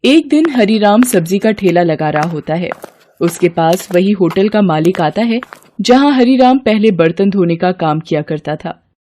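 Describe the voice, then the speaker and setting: A woman's voice: medium pitch, expressive, normal speed, mellow. A woman storyteller giving scripted narration of a moral tale.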